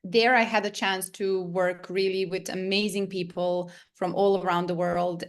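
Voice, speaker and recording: A woman in her thirties from Serbia, answering interview questions with frequent hesitations and fillers. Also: lowish voice. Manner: hesitant, many ums. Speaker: guest coach and trainer.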